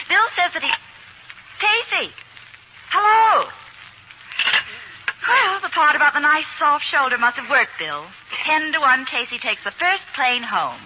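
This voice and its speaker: A woman's voice: high voice, sharp tone, full character voice. A woman in 1940s radio acting, playing a chatty, wry, wisecracking neighbor. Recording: faintly noisy old radio recording.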